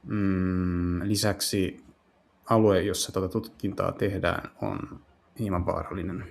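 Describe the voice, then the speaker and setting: A man playing through a visual novel, translating it aloud in casual speech and often halting to search for words. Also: deep voice, little pitch movement, gravelly. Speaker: game streamer. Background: game music.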